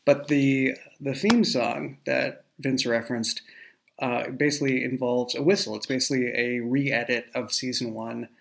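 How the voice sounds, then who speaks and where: A man with a creaky, mid-pitched voice talks casually as a show's creator. His explanations are halting, with frequent self-corrections. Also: steady tone. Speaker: podcast creator and writer. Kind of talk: casual behind-the-scenes chat.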